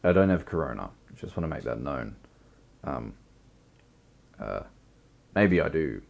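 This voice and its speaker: Deep, slow, croaky-voiced man. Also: sing-song. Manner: slow, musing, building long lists. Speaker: livestream host. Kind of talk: reflective philosophical chat.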